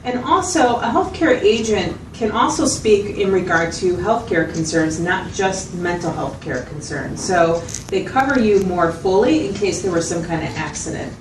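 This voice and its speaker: A woman playing a patient, attentive mental health clinician who explains things in a measured way and checks for agreement. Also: mid-range voice, expressive, natural voice. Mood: calm explaining. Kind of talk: acted clinical interview, explanatory. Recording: roomy, distant mic, noticeable background noise.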